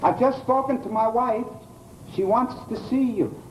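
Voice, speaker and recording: An actor in an old-time radio horror drama playing an unhinged, softly insistent grieving widower, halting, coaxing and pleading. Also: male voice, extremely high pitch, a little hiss or hum, rough recording. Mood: anxious, coaxing.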